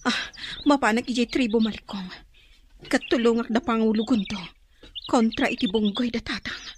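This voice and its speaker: A determined young daughter played by an actress speaks in a fairly high voice with an Ilocano accent. The radio drama sets her against clearly audible noise and chirping birds. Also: normal speed, close to an everyday voice. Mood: troubled, secretive. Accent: Filipino (Ilocano). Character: secretive, determined young daughter torn over her father. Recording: noisy background with birdsong effects.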